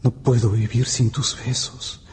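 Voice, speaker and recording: A man's voice: medium pitch, little pitch movement, measured pace, a little mellow, slight background noise, close to an everyday voice. An actor playing an anguished, bewildered young man desperately in love, with impassioned delivery in a radio drama. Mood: tender, hushed. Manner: impassioned, repeating himself in anguish.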